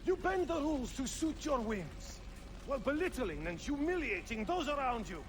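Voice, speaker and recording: An actor playing a bitter, accusing assassin in a video game, declaiming with scorn. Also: male voice, very high-pitched, big pitch swings, normal speed, slight background noise. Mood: indignant. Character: bitter, accusing male assassin, jealous zealot. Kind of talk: dramatic video game acting. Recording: loud music under the voice.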